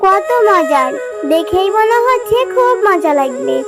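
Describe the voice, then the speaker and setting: A woman's voice: extremely high pitch, big pitch swings, unhurried, music in the background, full character voice. A woman voicing a sweet, innocent little girl jackal cub in a children's cartoon, coaxing and childlike. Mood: pleading, wistful.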